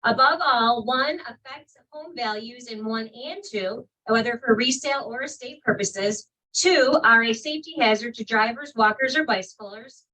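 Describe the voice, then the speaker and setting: A woman on a village council reading residents' letters into the record, in a loud, clear voice at a public meeting. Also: a little high, normal speed, few pauses. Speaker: council member. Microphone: distant from the microphone. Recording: speaker far from the mic.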